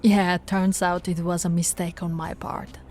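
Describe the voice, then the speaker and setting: A woman voicing a patient, teasing female police officer in an ASMR audio roleplay, speaking softly and coaxingly to the listener, recorded close to the mic. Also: lowish voice, flat delivery, measured pace, soft background music, lightly acted. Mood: matter-of-fact. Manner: soft-spoken, coaxing, playfully flirtatious. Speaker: ASMR voice actress.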